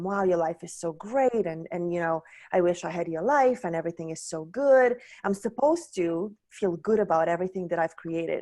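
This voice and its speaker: Lower-voiced woman from Poland. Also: a little mellow. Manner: candid, searching, frequent restarts. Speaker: guest. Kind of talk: reflective personal story.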